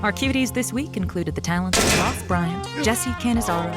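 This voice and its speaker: A woman's voice: medium pitch, normal speed, over loud music, lightly acted. A woman playing a flirty, encouraging late-night radio advice hostess with playful, breezy patter in a comedy audio drama. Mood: cheerful sign-off.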